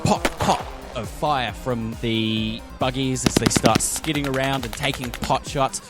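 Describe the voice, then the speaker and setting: A man's voice: mid-range voice, a little slow. A man narrating a tabletop roleplaying game as the game master. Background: music.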